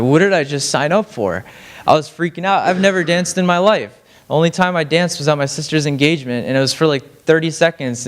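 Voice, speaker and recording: A young man giving a casual, rambling talk on stage as a student speaker, self-deprecating and full of fillers and restarts. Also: a little high. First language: Farsi. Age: young adult. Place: lecture stage.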